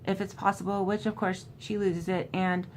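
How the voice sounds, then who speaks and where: A woman host gives an informal book recap, moving between loose retelling and reading aloud. Her voice sits at an ordinary mid pitch for a woman. There is noticeable ambient noise behind her. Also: little pitch movement, moderate pace, low-quality recording. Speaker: host recapping a horror novel. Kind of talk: casual book recap with passages read aloud.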